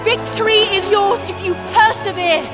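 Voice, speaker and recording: Żarliwa wojowniczka krzycząca bardzo wysokim głosem. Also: female voice, very high voice, fairly even intonation, moderate pace, over loud music. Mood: fierce, rallying. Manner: crying out, urgent rallying. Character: young warrior maiden Joan, fervent and commanding. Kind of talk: historical audio drama battle acting.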